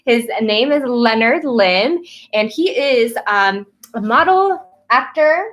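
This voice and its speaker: A woman's voice: fairly high pitch, expressive, slow, a bit bright. A woman from Korea hosting a casual livestream chat, upbeat and gushing, talking straight to viewers. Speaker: host, performance coach. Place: café in Seoul.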